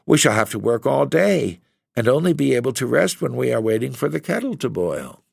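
A man's voice: mid-range voice, very animated, a little fast, creaky, breathy edge, no echo, studio quality, pitched above the performer's own voice, full character voice. Male narrator doing a tearful, fretting young wife, plaintive, voice lifted well above his own. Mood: fretful. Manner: plaintive, complaining.

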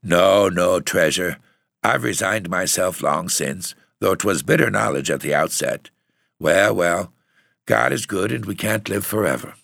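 A man voicing a gentle, philosophical old woman in an audiobook story. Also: deep voice, a little slow, creaky, no room sound, clean studio sound, exaggerated voice. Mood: resigned, tender. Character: resigned, wistful old Irish aunt.

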